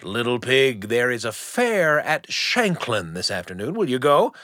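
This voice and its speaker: A man's voice: mid-range voice, sing-song, measured pace, bright, forceful tone, dry recording, clean studio sound. A man voicing a sly, hungry storybook wolf who coaxes with a wheedling, falsely friendly manner.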